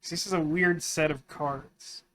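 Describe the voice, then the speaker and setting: A man's voice: fairly high pitch, fairly even intonation, measured pace, pushed and bright. A man doing casual let's play commentary, musing and thinking aloud as he works through puzzles. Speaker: gaming video host.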